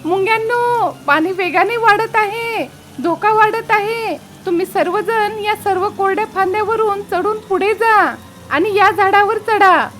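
A woman voicing a gentle, brave mother bird in a children's moral story cartoon, earnest and encouraging. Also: extremely high pitch, unhurried. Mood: urgent.

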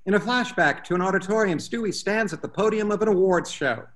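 A man's voice: high-pitched, fast talker, fairly bright tone. A man reading stage directions as scripted narration at a table read over a video call. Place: room at home, video call.

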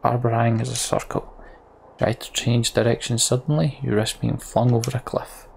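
A man voicing a dutiful middle-aged guard captain in a fantasy game, formal and deliberate. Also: medium pitch, level tone, vocal fry, a little mellow, a little hiss or hum, close to an everyday voice. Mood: measured counsel.

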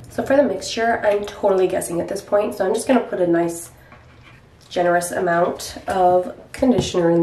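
A woman's voice: mid-range voice, a little mellow, slight background noise. A young woman in her twenties hosting a casual hair tutorial vlog at home, upbeat and chatty, a bit nasal from a cold. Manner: chatty and upbeat, playful rhetorical questions. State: getting over a cold. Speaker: beauty vlogger host. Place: small room at home.

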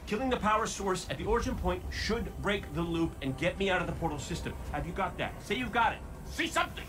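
An actor playing a wisecracking male robot gunslinger in a video game, full of quick sarcastic quips. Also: high-pitched, expressive, background noise. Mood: joking, hurried. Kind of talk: video game cutscene acting.

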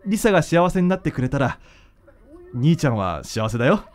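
An actor playing a gentle, devoted young man who hides his heartbreak, in a Japanese radio drama. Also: a little high, big pitch swings, brisk. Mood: tender, holding back. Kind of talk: radio drama acting with narration.

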